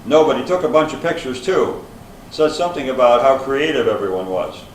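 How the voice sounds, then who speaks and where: A man's voice: mid-range voice, animated, brisk, natural voice. A man reading the part of an earnest party guest under questioning in an amateur readers theater, plain and conversational. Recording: echoey room from far off, faint noise.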